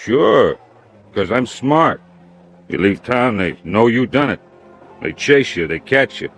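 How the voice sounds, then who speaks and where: An actor playing a sullen, simple-minded but smug killer, speaking in blunt, halting broken English in an old radio crime drama. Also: male voice, medium pitch, sing-song, fairly bright tone, soft background music, full character voice. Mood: smug, resentful.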